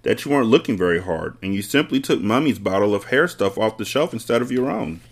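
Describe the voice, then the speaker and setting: A man voicing a sly little girl in a storybook, speaking quietly, calmly and politely. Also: deep voice, brisk.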